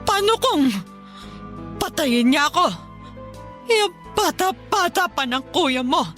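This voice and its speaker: Bitter invalid mother played by an actress, slow reproachful delivery, radio drama, music underneath. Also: very high-pitched, animated, a bit bright, full character voice. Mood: bitter, anguished. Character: bitter, resentful partly paralysed mother, cruel to her child. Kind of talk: Tagalog radio drama acting. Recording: music bed behind the voice.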